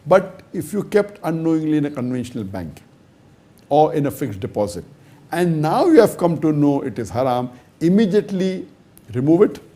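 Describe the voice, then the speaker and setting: A man with a somewhat high voice and a mellow, soft-edged tone delivers a scholarly answer to a question. He builds his case methodically, leaning hard on key words and posing rhetorical questions, his pitch jumping up for emphasis. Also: very animated, normal speed. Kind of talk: lecture-style question and answer.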